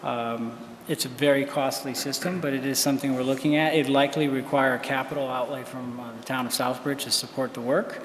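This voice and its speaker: A man's voice: medium pitch, steady tone. Man answering in an echoey hall. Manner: measured, hedging, many um fillers. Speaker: school official. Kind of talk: answering a public comment at a meeting. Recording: roomy hall with faint noise.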